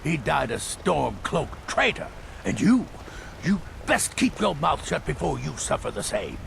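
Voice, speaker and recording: Bitter old fantasy-game patriarch: heavy creak, slow and threatening delivery, noisy ambience. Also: male voice, a little high, big pitch swings, a bit bright, exaggerated voice. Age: older. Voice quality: gravelly, creaky. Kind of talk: fantasy video game acting.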